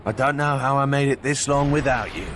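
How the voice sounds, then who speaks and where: An actor playing a gruff, defiant male soldier in a game, speaking in curt, sardonic lines. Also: medium pitch, a little fast, background noise, natural voice. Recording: loud music over the voice.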